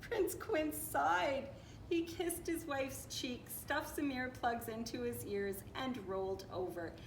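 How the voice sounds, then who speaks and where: A woman reading a children's picture book aloud in an expressive, playful, dramatic way, with little asides. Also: sits high, takes it slowly. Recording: roomy echo with clear background noise.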